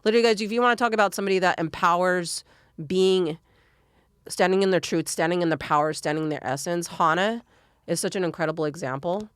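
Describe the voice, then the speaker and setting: A woman hosting a podcast interview, gushing and effusive, often halting on filler phrases. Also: on the low side, smooth, no room sound, clean studio sound.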